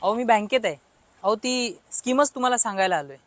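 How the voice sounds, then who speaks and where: A woman's voice: a little high, expressive, fairly quick, close to an everyday voice. A woman voicing a talkative, easily annoyed bank employee with a colloquial, explanatory manner in a comedy cartoon. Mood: persuasive. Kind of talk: comic cartoon dialogue.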